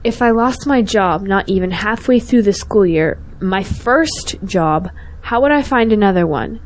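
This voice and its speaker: A woman's voice: mid-range voice, animated, measured pace, close to an everyday voice. A young woman playing a principled, outspoken schoolteacher in an audio drama, earnest and pointed. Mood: worried. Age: young adult.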